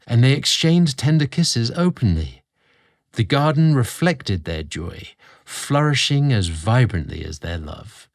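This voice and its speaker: Male story host, lowish pitch, dry sound, dramatic and sensual scripted read. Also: no echo. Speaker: guest host and narrator.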